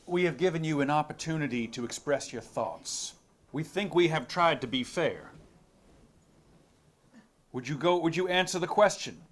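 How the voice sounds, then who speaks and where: A man's voice: on the high side, normal speed, long pauses, natural voice. A man reading the part of a stern, sarcastic congressman presiding over a hearing. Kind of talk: dramatic reading of a hearing transcript.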